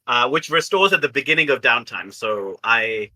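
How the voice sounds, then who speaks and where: A man with a very bright, forward voice of average pitch talks casually as a player at a tabletop game. He reasons out loud in a deliberative way, working through his options. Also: animated, normal speed, low-quality recording.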